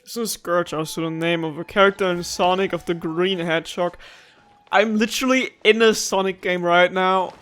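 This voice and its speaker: A man's voice: sits high, fairly even intonation, moderate pace, fairly bright tone, soft background music. A man giving casual Let's Play commentary in a joking, incredulous manner. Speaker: gaming video host.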